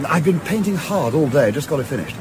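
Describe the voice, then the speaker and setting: A man's voice: a little high, animated, fairly quick, lightly acted. An actor playing an eager, apologetic young yachtsman in a radio play, hesitant and chummy. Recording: clearly noisy, boat ambience behind.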